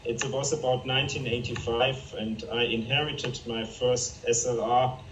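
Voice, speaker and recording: Guest: man, German accent, earnest and halting, roomy room sound, away from the mic on a video call. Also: medium pitch, steady tone, fairly bright tone, distant mic, a little hiss or hum. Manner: earnest, halting with many fillers. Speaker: interview guest, photographer.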